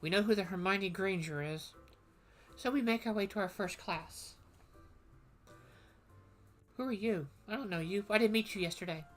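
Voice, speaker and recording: A woman's voice: mid-range voice, normal speed, pauses often, soft background music. A woman streamer chatting casually and playfully while reading through a game, rambling between lines. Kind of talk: casual gameplay commentary.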